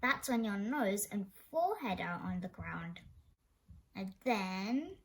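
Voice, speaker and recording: A woman's voice: medium pitch, lively intonation, slow, gravelly. A woman reading a children's story aloud expressively, now and then stumbling over a word. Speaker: storybook reader.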